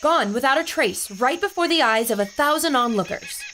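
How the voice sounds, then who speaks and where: An actress playing an intrepid, sharp-tongued newspaper reporter in a pulp adventure audio drama, brisk and plucky. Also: female voice, high-pitched, lively intonation, background noise. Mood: urgent.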